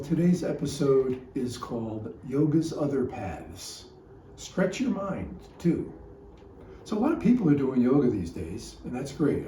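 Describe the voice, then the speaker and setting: A man in his seventies hosting a reflective podcast, talking in an unhurried, hesitant way. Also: mid-range voice, dark tone, reverberant, a little hiss or hum, low-quality recording. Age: 70s. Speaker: podcast host, retired psychotherapist. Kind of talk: reflective personal podcast talk.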